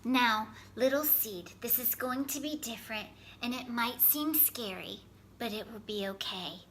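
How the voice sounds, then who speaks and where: A woman voicing a kind, patient male farmer in a storybook, gentle, measured and soothing. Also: fairly high pitch, slight background noise, poor audio. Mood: reassuring.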